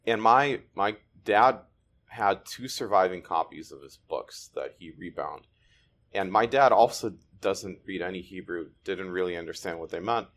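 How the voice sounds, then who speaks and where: A man born in Ukraine whose first language is Russian, a guest telling his family history in a thoughtful, halting way. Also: sits low, animated, a bit bright. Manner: thoughtful, restarts often, halting. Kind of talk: conversational interview.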